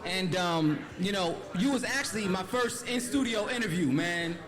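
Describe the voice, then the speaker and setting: A man's voice: high voice, lively intonation, moderate pace, a crowd in the background. A man hosting a live event interview, upbeat and casual, ending many phrases with "man" and "right". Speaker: host.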